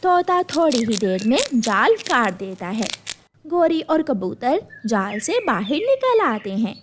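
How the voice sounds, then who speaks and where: A woman's voice: fairly high pitch, very animated, takes it slowly, slight background noise. A woman narrating a scripted children's cartoon story.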